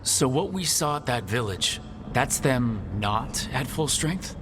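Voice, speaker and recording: An actor playing a young, self-doubting hero in a video game, earnest and plain-spoken. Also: male voice, mid-range voice, animated, slow, in a large hall, noisy background. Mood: determined, questioning.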